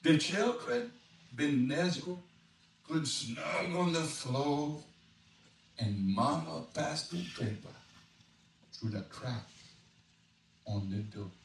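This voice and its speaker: A man voicing an excitable old Cajun storyteller in dialect, with dramatic swoops, reading a storybook tale. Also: a little high, slow pace, vocal fry, pauses often, full character voice. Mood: folksy, savoring. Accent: Cajun Louisiana. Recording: echoey room, distant mic, noisy.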